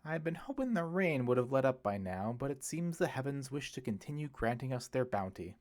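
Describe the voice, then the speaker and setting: A man's voice: medium pitch, sing-song, fairly quick, natural voice. Man playing a genial, wordy older priest. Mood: wry, conspiratorial. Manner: wordy, wry, gently eloquent. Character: genial older male priest, wry ex-hippie.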